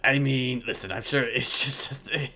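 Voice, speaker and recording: A man's voice: medium pitch, normal speed, bright, forceful tone. A man playing a prison inmate in an online crime roleplay game, stammering, repeating himself and swearing freely. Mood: doubtful, amused. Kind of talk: improvised in-character roleplay chat.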